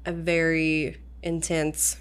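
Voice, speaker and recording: A woman's voice: deep voice, monotone, slow, clean tone. A woman talking casually as a guest wedding photographer, blunt and full of fillers. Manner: blunt, filler-heavy, lots of "like". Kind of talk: casual chat.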